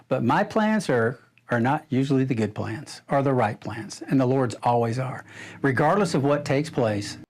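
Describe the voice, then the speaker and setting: A man answers interview questions in a relaxed, reflective way, with a lively, varied pitch. He speaks plainly and takes his time over his answers. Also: moderate pace. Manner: relaxed, laughs easily, reflective.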